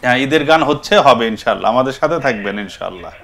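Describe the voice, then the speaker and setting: A man's voice: mid-range voice, expressive, fast, creaky, a bit bright. A man with a Bangladeshi accent hosting a festive talk show, often putting in short affirmations as others speak. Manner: frequent backchannel affirmations. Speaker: TV show host.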